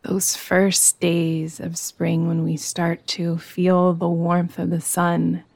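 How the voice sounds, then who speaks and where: A woman guiding a yoga nidra meditation in a soothing, gentle, unhurried manner. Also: lowish voice, monotone, creaky, no room sound. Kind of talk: guided meditation.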